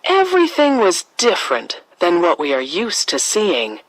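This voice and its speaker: A woman narrating a scripted children's fairy tale as a storyteller, with expressive, dramatic emphasis. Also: on the low side, normal speed, no echo, rough recording.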